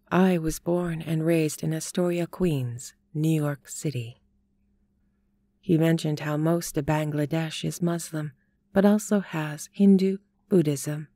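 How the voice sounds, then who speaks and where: A woman narrating a listener's written story in a calm, slow, measured reading voice. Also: low voice, no echo.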